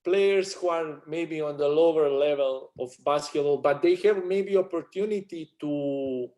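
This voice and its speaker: Serbian-accented man, halting English. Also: on the high side, warm tone. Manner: halting non-native English, frequent restarts and fillers. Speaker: sports agency owner. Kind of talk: casual interview chat.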